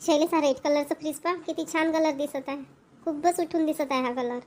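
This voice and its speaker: A woman's voice: high-pitched, fairly even intonation, a little fast, a little mellow, slight background noise, natural voice. A woman voicing a pushy chatty young wife. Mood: insistent. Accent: Marathi (Maharashtra). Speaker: wife character in a cartoon. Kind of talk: cartoon voice acting.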